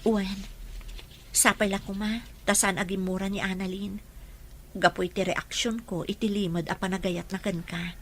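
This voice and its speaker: A woman's voice: mid-range voice, flat delivery, moderate pace, a little hiss or hum, close to an everyday voice. A woman with an Ilocano accent playing an earnest, sentimental young woman in an audio drama. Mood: earnest, worried.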